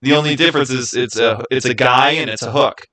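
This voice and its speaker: A man hosting a livestream, chatting casually and answering viewers in an informal, opinionated, halting way that often repeats itself. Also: mid-range voice, pushed and bright. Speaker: livestream host.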